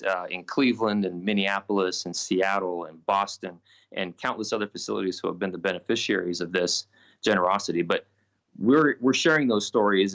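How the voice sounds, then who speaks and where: A man answering in an interview as a spokesman, haltingly, repeating words mid-phrase. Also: lowish voice, fairly bright tone. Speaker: union spokesman. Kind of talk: interview response.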